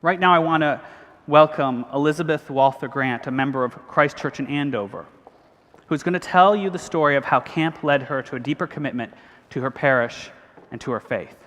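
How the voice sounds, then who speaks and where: New priest, thirties, earnest, slightly high voice, big echoey hall. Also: male voice, normal speed, fairly bright tone. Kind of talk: convention speech. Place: large cathedral. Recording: long hall reverb.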